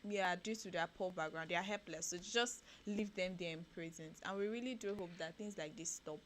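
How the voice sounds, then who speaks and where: A Nigerian woman reads out newspaper headlines on a radio breakfast show, then talks them over in an animated way. Her voice sits a little low, and a faint noise hums under the studio sound. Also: brisk. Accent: Nigerian English. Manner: reads headlines then comments animatedly, rising when excited. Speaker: radio breakfast show co-host reading news. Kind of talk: newspaper review with commentary.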